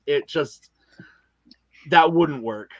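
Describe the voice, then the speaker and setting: A man's voice: a little high, very slow, no room sound, dull audio. A man chatting casually as a podcast guest about his creative work, speaking emphatically with slangy wording and repeated phrases. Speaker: guest, audio drama creator and narrator. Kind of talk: casual podcast interview chat.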